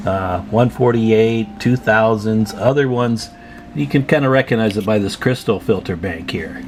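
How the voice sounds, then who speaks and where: A man's voice: lowish voice, darkish tone. A man presenting a hands-on repair tutorial in a casual, plain-spoken, advising way.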